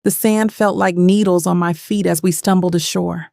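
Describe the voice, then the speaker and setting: A woman's voice: on the low side, steady tone, normal speed, creaky, darkish tone, no room sound, studio quality. A woman playing a bitter, haunted woman in her thirties, telling her story in intense first-person dramatic narration. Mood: uneasy. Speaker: narrator and lead of an audio drama.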